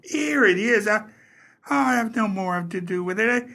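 A woman playing a frightened, flustered beadle in a radio play, stammering and halting with folksy, deferential speech. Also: medium pitch, expressive, fairly bright tone. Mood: frightened, insistent. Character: frightened, flustered beadle who tends the family vault.

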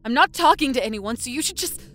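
Terse, defiant horror heroine. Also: female voice, high voice, animated, fast, a bit bright, faint music behind. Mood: defiant, agitated. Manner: terse, sardonic. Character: defiant grown woman, guarded and coolly vengeful. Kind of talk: audio horror drama acting.

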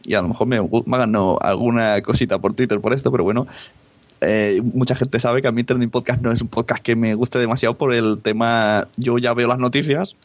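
A man from hosts a relaxed podcast interview. He muses and rambles with chuckles, at a middle pitch, and the recording sounds close and dry. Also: a little fast, dry recording. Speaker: podcast host. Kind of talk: casual interview chat.

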